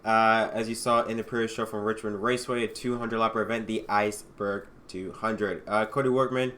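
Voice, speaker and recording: A man's voice: fairly low pitch, fairly even intonation, fairly quick, sharp tone. A man hosting a sim racing livestream, sounding a bit congested from allergies, chatting casually and lifting his voice for intros. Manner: upbeat announcer, lifts his voice for intros. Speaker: sim racing league host. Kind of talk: casual race pre-show and livestream talk.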